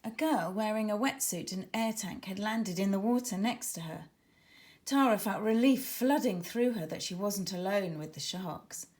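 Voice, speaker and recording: A woman reading a children's book aloud in an expressive, dramatic storytelling manner, as scripted narration for young listeners. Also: medium pitch, moderate pace. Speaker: author reading her own children's book.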